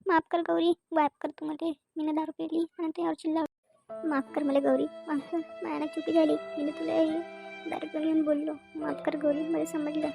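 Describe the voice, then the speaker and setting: A woman's voice: sits high, flat delivery, moderate pace, gravelly, mellow, faint music behind. A woman voicing a hot-headed, whiny son in an amateur cartoon comedy. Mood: pleading, remorseful. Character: hot-headed drunk son, sulky then pleading.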